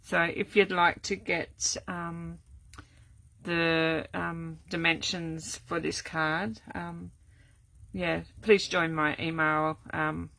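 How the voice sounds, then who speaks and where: An older woman, chatting casually through a live card-making demo, rambling with many ums and restarts, her voice going croaky. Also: lowish voice, flat delivery, slow, pushed and bright, no echo. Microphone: camera set up at desk.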